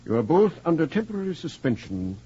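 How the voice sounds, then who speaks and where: An actor playing a grave, formal middle-aged college dean in a 1940s radio drama. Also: male voice, fairly high pitch, big pitch swings, warm tone, breathy edge. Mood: grave, regretful. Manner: formal, measured, stern. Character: grave, formal male college dean, regretful but firm. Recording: muffled, hissy 1940s radio recording.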